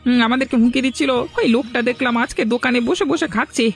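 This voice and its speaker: An actor voicing a village crook's eager, fawning male henchman who repeats words excitedly in a cartoon. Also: high voice, fast, gravelly, faint music behind. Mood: excited, tattling. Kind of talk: cartoon voice acting in a folk-tale drama.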